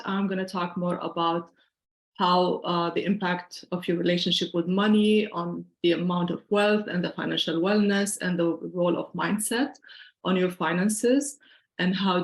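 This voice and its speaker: A woman's voice: fairly low pitch, creaky, roomy sound. A middle-aged woman from Syria with a Syrian accent, presenting an online financial wellness workshop in an earnest, explanatory, conversational way over a video call.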